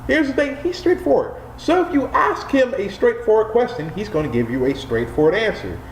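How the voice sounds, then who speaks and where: American man in his twenties, very lively intonation, exaggerated casual comic storyteller, roomy sound, indoor webcam recording. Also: sits high, fairly quick. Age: 20s. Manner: animated, exaggerated, profane. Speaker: storyteller vlogger. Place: small room indoors, on a couch. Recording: roomy echo, faint background noise.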